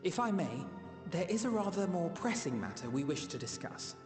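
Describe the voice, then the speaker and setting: A serious, courteous young scholar in a game cutscene. His voice sits a little high and is formally earnest. It echoes in a big hall over clearly audible music. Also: big pitch swings, moderate pace. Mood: earnest, polite. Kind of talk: video game cutscene dialogue. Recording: reverberant hall, music behind.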